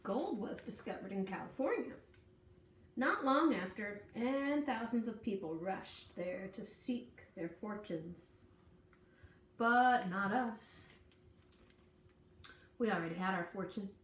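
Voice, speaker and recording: Animated woman reading a children's storybook aloud. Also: medium pitch, takes it slowly, pauses often. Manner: folksy, dramatic storytelling delivery. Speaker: storybook reader. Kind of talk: expressive read-aloud of a children's picture book. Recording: echoey room, distant mic, faint noise.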